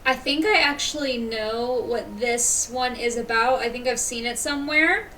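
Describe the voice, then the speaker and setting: A woman's voice: fairly high pitch, normal speed, sharp tone, a little hiss or hum. A woman doing casual live-stream commentary as she plays a video game. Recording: distant mic, faint game music behind. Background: faint game music.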